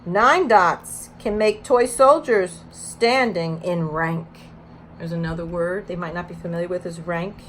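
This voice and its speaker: A woman's voice: on the low side, measured pace, fairly bright tone, a little hiss or hum. A woman educator giving a read-aloud lesson with teaching tips, speaking in an instructional way and with emphasis when reading. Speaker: early childhood educator.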